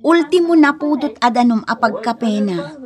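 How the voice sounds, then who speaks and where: A woman's voice: a little high, animated, normal speed, a crowd in the background, natural voice. An actress playing a put-upon wife and mother in a radio comedy drama, plaintive and grumbling about her household. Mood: exasperated.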